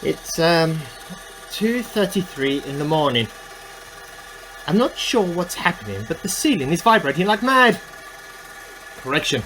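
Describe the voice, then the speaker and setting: A man's voice: fairly high pitch, very animated, unhurried, pauses often, sharp tone, slight background noise, heavily acted, rough recording. A man playing an eccentric amateur scientist who earnestly records a self-important secret diary in a radio play. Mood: alarmed, amazed. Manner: earnest, self-important, musing aloud in dated log entries.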